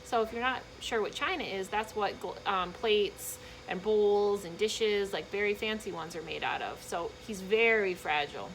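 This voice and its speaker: A woman reading a children's book aloud in an expressive, emphatic storytelling manner, with short explanatory asides for young listeners. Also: medium pitch, moderate pace, few pauses, a little hiss or hum, low-quality recording.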